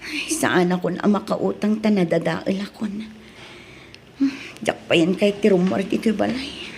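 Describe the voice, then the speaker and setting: A woman's voice: medium pitch, moderate pace, gravelly, darkish tone, a little hiss or hum, natural voice. A woman playing a worried, debt-burdened mother in an Ilocano drama, with an Ilocano accent and a plaintive, sighing delivery. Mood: anxious, burdened. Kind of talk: Ilocano radio drama acting.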